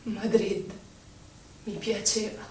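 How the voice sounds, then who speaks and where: An actress playing a grieving, lyrical poetic figure, reciting dramatically and lingering on each phrase. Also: female voice, medium pitch, level tone, slow, dark tone, close to an everyday voice. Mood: mournful. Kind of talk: theatrical poetry recitation. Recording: very echoey, distant, faint noise.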